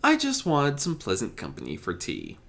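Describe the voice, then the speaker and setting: Guy doing a calm fatherly god of order in armor, soft spoken n courteous, audiobook style, pitch moves around a lot. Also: normal speed, creaky, full character voice. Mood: calm, grateful. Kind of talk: fan fiction audiobook character reading.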